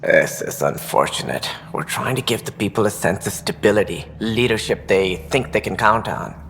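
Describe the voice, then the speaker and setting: An actor playing an earnest male colony director in a video game, speaking deliberately about hard decisions. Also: on the low side, expressive, vocal fry, fairly bright tone, long reverb tail, slight background noise.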